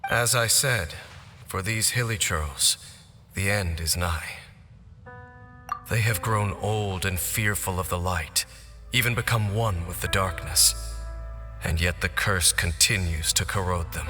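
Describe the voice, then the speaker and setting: A man voicing a grave, ancient knight in a video game cutscene, speaking in a solemn, formal, deliberate manner. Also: sits low, bright, forceful tone. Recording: echoey game cutscene with music.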